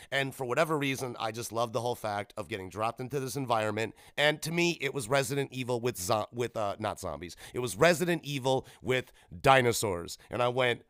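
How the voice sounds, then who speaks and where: A man's voice: mid-range voice, lively intonation, fast, few pauses, fairly bright tone, no echo, studio quality. A man in his thirties hosting a solo gaming podcast, rambling casually and correcting himself as he goes. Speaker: podcast host. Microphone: podcast microphone.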